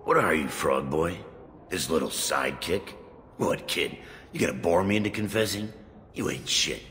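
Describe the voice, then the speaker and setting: A man's voice: sits low, animated, normal speed, a bit bright, long reverb tail, a little hiss or hum. An actor voicing a cocky male crime boss in a video game, sneering and taunting in tough-guy slang.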